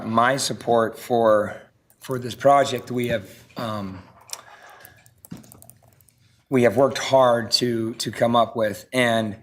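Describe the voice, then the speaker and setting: A hoarse man who is losing his voice, a property co-owner giving halting public testimony at a council podium and clearing his throat often. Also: medium pitch.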